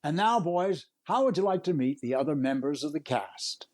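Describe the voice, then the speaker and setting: A man's voice: fairly high pitch, expressive, normal speed, a little mellow, close to an everyday voice. A man playing a polite, eager host of a 1930s radio variety show. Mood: polite, upbeat. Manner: courteous, upbeat.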